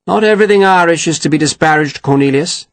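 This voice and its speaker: A man's voice: fairly high pitch, fast talker, no echo, pitched above the performer's own voice, close to an everyday voice. A man voicing a genteel, evasive army colonel with a breezy, placating manner.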